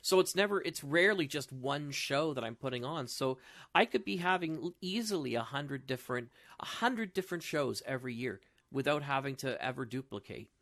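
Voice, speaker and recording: A man chatting casually as a podcast host, telling stories in an animated way. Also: medium pitch, brisk. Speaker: audio drama show host and podcast panelist.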